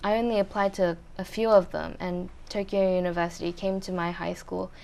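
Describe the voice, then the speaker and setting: A young woman answering interview questions in a thoughtful, measured way with frequent pauses. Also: fairly low pitch, flat delivery, creaky, a little mellow. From Australia. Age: young adult. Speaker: interview guest, university student.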